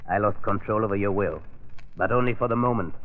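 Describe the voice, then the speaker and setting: An actor playing a proud, sinister hypnotist doctor in old-time radio drama, formal and halting when flustered. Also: male voice, fairly low pitch, natural voice. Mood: menacing. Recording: muffled narrow-band old radio recording.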